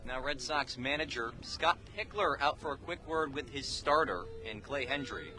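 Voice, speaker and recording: A man's voice: mid-range voice, lively intonation, normal speed, bright, forceful tone, a little hiss or hum, low-quality recording. A man calling live baseball play-by-play on the radio in clipped, descriptive phrases from an outdoor ballpark. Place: outdoor ballpark, beside the field.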